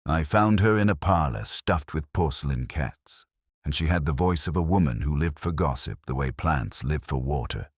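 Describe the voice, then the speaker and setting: A man's voice: extremely low pitch, expressive, normal speed, husky, no echo, studio quality. A man reading scripted first-person hardboiled detective narration as an audiobook narrator.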